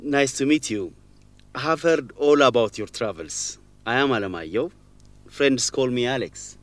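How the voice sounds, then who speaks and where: A man's voice: medium pitch, lively intonation, a little slow, lightly acted. An actor playing a young male student newsletter reporter in a radio drama for English learners, earnest, hesitant and careful in his speech. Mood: friendly. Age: young adult.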